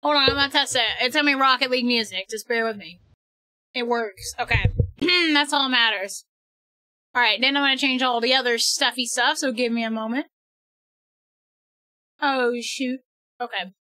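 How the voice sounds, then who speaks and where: A young woman live-streaming a game from home, excitable and quick to laugh and shout, and losing her voice. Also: a little high, no echo, low-quality recording. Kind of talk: casual live-stream chat while gaming.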